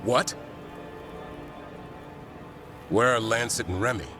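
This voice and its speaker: A man playing a gruff, wary monster-hunting warrior with a dry, guarded manner in a fantasy video game. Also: medium pitch, fairly quick, close to an everyday voice. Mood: urgent. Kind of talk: video game cutscene voice acting. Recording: game music under the voice.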